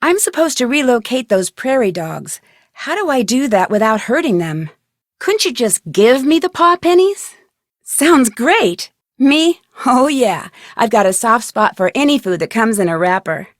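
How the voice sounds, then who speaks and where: A woman's voice: on the high side, very animated, a little slow, no room sound, studio quality. A woman playing an inquisitive, friendly young amateur detective in a video game. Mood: upbeat, curious. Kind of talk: video game dialogue lines.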